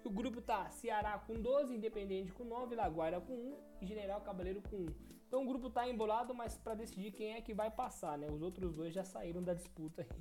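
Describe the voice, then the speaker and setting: A man's voice: high-pitched, a little fast, hardly any pauses, dark tone, soft background music. A young Brazilian man co-hosting a casual football podcast, running through results and stumbling over foreign team names. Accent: Brazilian Portuguese. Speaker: podcast co-host. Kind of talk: casual sports podcast chat.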